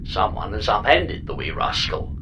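An actor playing a dry, pedantic, teasing robot companion in a sci-fi audio comedy, with a deadpan, needling manner. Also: medium pitch, normal speed, vocal fry, lo-fi sound, background noise. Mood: dry musing. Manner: deadpan, matter-of-fact, needling.

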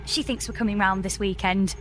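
A woman's voice: mid-range voice, fairly even intonation, a little fast, slight background noise, lightly acted. A woman playing an exasperated, pleading space engineer with a dry, emphatic manner in a comedy audio drama. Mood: uneasy.

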